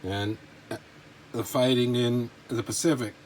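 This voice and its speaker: A man with a mid-range voice talks casually through items in a collection. He sounds informal and enthusiastic, rambling along without a script. There is clear noise behind his voice. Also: medium pitch, steady tone, a little slow, darkish tone, rough recording. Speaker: collector presenting models and memorabilia. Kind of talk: casual unscripted show-and-tell narration.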